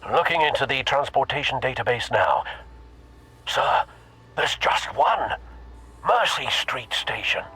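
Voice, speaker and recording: Loyal older butler, formal, watchful, bright crisp tone, swinging pitch, game dialogue. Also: male voice, animated, measured pace, long pauses, heavily acted. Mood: attentive. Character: loyal, concerned older butler assisting remotely. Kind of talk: video game voice acting.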